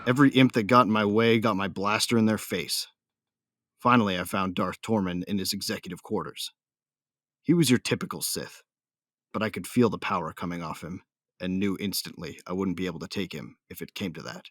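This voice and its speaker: A man's voice: deep voice, brisk, fairly bright tone, no room sound, studio quality. An actor playing a brooding, hot-tempered male bounty hunter with a tough, slangy manner, narrating an audio drama in the first person. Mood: grim, vengeful.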